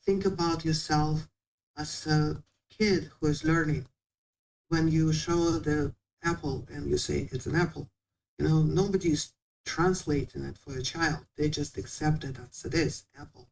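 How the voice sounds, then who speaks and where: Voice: mid-range voice, fairly even intonation, measured pace, gravelly, a little mellow, slight background noise, low-quality recording. A guest patiently explaining how to learn a language in a casual interview, with small non-native grammar slips.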